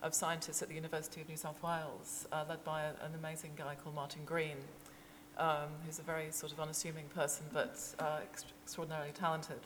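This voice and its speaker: A woman with a very low, even voice answers on a panel, pausing often with ums. The room adds a long hall reverb with faint noise underneath. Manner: hesitant, many ums and restarts. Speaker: author on panel. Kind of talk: panel discussion.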